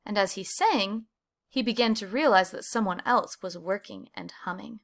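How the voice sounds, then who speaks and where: A woman reading a children's picture book aloud, with animated emphasis on key words. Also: mid-range voice, moderate pace, creaky. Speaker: storybook reader.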